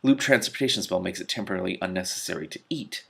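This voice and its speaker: A man's voice: deep voice, fast, creaky, sharp tone, slightly rough, exaggerated voice. A man voicing a sensible woman in a fantasy story, level-headed and methodical. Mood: reasoning.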